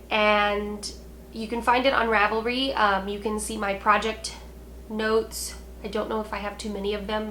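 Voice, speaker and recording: A woman's voice: medium pitch, fairly bright tone, slight background noise. A stuffy-nosed woman chatting about knitting. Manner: chatty and apologetic, with frequent ums and restarts. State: congested and nasal from a sinus cold. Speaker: knitting podcast host. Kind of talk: casual chat to camera.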